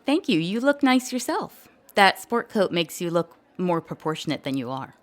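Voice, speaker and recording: Slightly low female voice, varied intonation, cool cutting dater, matter-of-fact, audio comedy. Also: sing-song, moderate pace. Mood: coolly cutting. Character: cool woman delivering backhanded compliments. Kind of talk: comedic audio drama acting.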